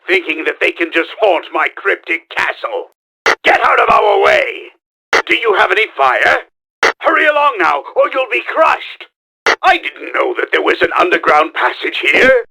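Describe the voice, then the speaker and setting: A scheming video game villain, a mad-genius commander with a high, bright, forceful voice and a gravelly creak. He is pompous and theatrical, barking orders with great bluster. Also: full character voice, rough recording. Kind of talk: video game character voice lines.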